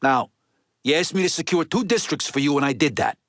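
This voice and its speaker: A man's voice: a little high, expressive, brisk, fairly bright tone, dry recording. Game mobster: middle-aged Italian-American tough guy, East Coast accent, street-smart, swearing freely, dry studio sound. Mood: resentful, firm. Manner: blunt, profane, street-tough wiseguy talk. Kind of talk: video game character dialogue.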